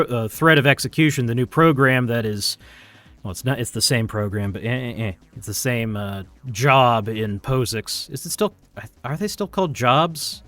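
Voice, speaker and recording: A man's voice: mid-range voice, fairly bright tone, no echo. A man thinking aloud in casual, rambling, self-deprecating commentary as he live-streams his programming. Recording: music playing behind the voice.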